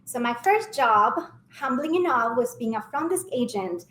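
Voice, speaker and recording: A woman's voice: fairly high pitch, animated, normal speed, fairly bright tone, slight background noise. A middle-aged woman from Ecuador with an Ecuadorian Spanish accent, telling her career story and often checking in with "right?". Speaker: hotel sales director and mentor. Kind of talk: casual mentoring interview. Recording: echoey room with faint ambience.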